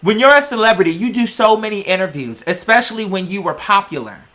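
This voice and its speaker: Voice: medium pitch, expressive, fast, fairly bright tone, poor audio. A sassy, emphatic online creator giving a casual, opinionated rant to viewers, with lots of slang and free swearing.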